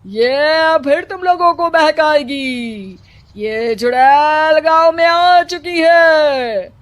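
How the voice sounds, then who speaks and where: A domineering village elder from a cartoon tale , voiced by a woman. The delivery is high-pitched and slow, commanding and full of foreboding, in a dry close recording. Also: no room sound. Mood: ominous. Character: stern, domineering village headman and doom-saying holy man. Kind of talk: cartoon story dialogue.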